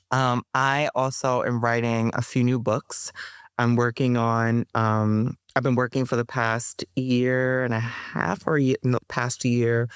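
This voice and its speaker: A woman's voice: very deep voice, clean tone, dry recording, studio quality. A woman author and performer answering interview questions in a loose, rambling way, full of ums and restarts, with a playful streak. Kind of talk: casual interview talk.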